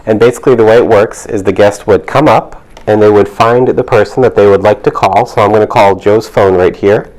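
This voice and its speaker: A man's voice: fairly low pitch, steady tone, brisk, darkish tone, poor audio. A man demonstrating a product, explaining it step by step in an instructional way.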